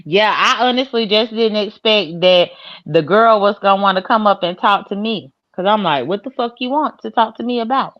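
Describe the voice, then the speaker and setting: A woman's voice: mid-range voice, moderate pace, dull audio. A woman dropping into a live stream as a guest, playful and gossipy, cursing casually. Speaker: guest on a livestream.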